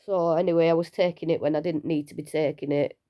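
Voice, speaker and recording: A woman, unwell and low on energy, talking casually and rambling a little in a personal vlog from home. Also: steady tone, fast talker, dark tone. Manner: chatty, rambling, self-deprecating with small laughs. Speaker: vlogger sharing her cancer treatment.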